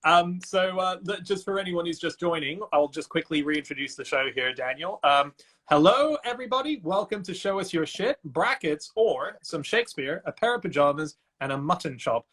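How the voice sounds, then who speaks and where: A man with a fairly high voice hosts a casual chat show from home. He talks in a loose, rambling way, filling his pauses with ums and uhs as he introduces his guest over a video call. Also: expressive, a bit bright. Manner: chatty, hesitant with many fillers. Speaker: host.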